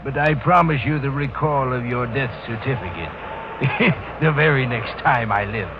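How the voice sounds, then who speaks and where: An actor playing a shady old island coroner, gravelly, wryly resigned and mock-courteous, in 1940s radio mystery style. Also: male voice, medium pitch, normal speed, lightly acted. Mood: sly, resigned. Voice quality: gravelly, creaky. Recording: noisy, dull 1940s radio recording.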